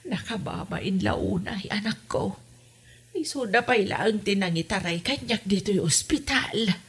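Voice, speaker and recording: A woman's voice: medium pitch, expressive, a little slow, slightly rough, exaggerated voice. A woman playing a sickly older mother, breathless, plaintive and groaning in an audio drama. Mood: weak, plaintive.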